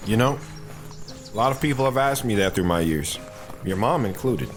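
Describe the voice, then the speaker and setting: A man's voice: fairly low pitch, lively intonation, normal speed, natural voice. An actor playing a protective soldier father who talks patiently and earnestly to his daughter in an audio drama. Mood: earnest, grave. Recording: music behind the voice.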